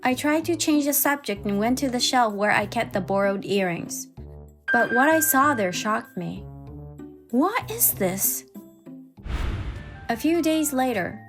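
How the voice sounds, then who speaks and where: A woman playing a wary, hurt young wife who narrates her troubles in an expressive manga dub style. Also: a little high, a little slow, pauses often. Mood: troubled. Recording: music behind the voice.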